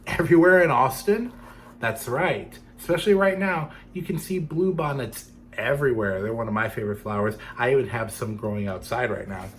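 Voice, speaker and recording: Man reading a children's story, faint background noise. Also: mid-range voice. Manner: dramatic, deliberate phrasing, addressing young listeners. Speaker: storyteller for children's theatre series.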